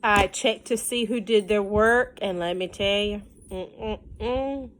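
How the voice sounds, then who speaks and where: A woman's voice: medium pitch, slow, a little hiss or hum. A woman with a Southern US accent, a teacher reading a picture book aloud to children in a sing-song way with folksy, casual asides.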